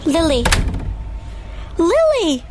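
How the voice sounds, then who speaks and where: A frightened little girl, as a woman acts her out pleads in a very high, slow voice. The lines drag out with tearful urgency. Music plays behind the radio drama. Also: huge pitch swings, heavily acted. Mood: pleading. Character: frightened young girl Victoria.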